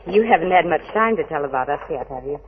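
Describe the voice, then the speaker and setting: A woman plays a poised young frontier heroine in an old radio western. She talks quickly and archly in a teasing banter. The recording is a little dull and lo-fi. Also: fairly high pitch, expressive, noisy background, lightly acted. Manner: arch, bantering. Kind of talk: 1940s radio acting.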